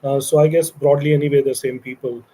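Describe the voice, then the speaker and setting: A middle-aged man from India speaks with an Indian English accent, walking new interns through a program he founded. His delivery meanders, with many pauses and fillers. His voice is warm and mellow, with a faint hum of noise behind it. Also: mid-range voice, flat delivery, rough recording. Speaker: company founder leading an internship. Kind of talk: recorded orientation talk to interns.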